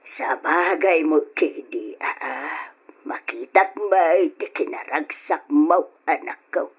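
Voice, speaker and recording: Slow, husky older Ilocano mother. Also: deep voice, expressive, full character voice. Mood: tender. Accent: Ilocano, from the Philippines. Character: older Ilocano mother and grandmother, caring, concerned. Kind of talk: old-time radio drama acting. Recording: dull lo-fi old radio recording.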